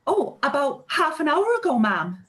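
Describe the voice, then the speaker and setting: A woman acts a servant in a period radio play. Her voice is high, and she speaks hesitantly, with anxious deference to her betters. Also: very animated, normal speed, a bit bright. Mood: flustered. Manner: hesitant, apologetic. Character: flustered, deferential household maid. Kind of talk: radio play live read.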